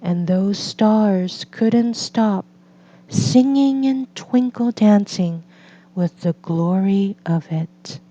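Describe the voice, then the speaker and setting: A woman reading a picture book aloud as a storyteller, in gentle, deliberate phrases with drawn-out pauses. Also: medium pitch. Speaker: read-aloud storyteller for children and English learners. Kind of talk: slow scripted picture-book narration. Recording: slightly dull, lo-fi recording.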